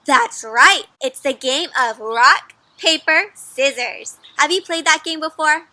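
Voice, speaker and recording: A woman giving an interactive storybook read-aloud for children, animated and encouraging, often asking her young listeners questions. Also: high-pitched, takes it slowly, dry recording.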